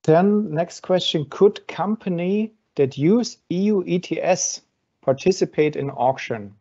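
A man with a gravelly, dark voice hosts a webinar and works through audience questions one by one, with plenty of ums along the way. The sound is dull and lo-fi, as on a video call. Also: sits high, expressive, unhurried. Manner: explains step by step with frequent fillers. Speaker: webinar host from an exchange.

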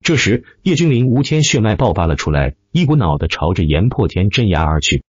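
A man's voice: fairly low pitch, sing-song, fairly quick, slightly rough, no echo, highs cut off. A man reading a fantasy novel as dramatic scripted narration. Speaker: audiobook narrator.

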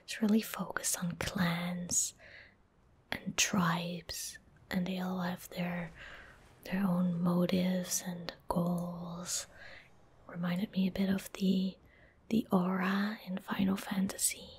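A woman in her twenties giving soft-spoken ASMR game commentary in a breathy, gentle whisper, unhurried and close to the microphone. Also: lowish voice, little pitch movement. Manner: slow, unhurried, gentle murmuring. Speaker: ASMR streamer. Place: small indoor room at a desk. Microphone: close desk microphone.

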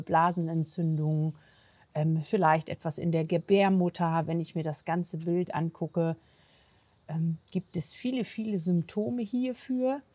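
Husky woman horse trainer chatting to camera outside, explaining as she goes. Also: fairly low pitch, darkish tone, no echo. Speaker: horse physiotherapist and trainer, presenter. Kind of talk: casual on-the-spot vlog explanation. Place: outdoors at a horse yard and paddock.

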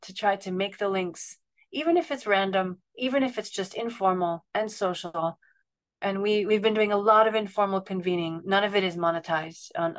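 Forties woman, video-call panelist; candid, meandering delivery, slightly low pitch. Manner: candid, rambling, frequent restarts and fillers. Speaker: webinar panelist. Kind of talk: casual panel talk. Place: home room with bookshelves. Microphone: video call webcam.

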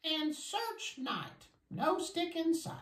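A woman's voice: very high voice, big pitch swings, slow, far from the mic, a little hiss or hum, voice pushed up, heavily acted. A woman voicing a friendly, curious, childlike monster puppet on a children's story time show, with simple, eager replies. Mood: reading aloud. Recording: roomy home recording.

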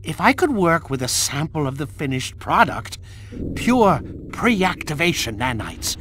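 An actor playing a brainy, anxious villain inventor talking technically in a video game cutscene. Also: male voice, fairly high pitch, sing-song, measured pace, background noise. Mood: urgent, technical. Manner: technical, articulate.

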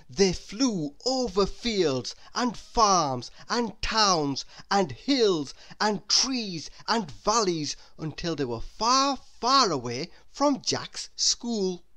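A woman reading a children's story aloud in a deliberate, expressive way, as if to a young listener. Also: fairly low pitch. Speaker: storybook reader. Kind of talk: scripted children's story narration.

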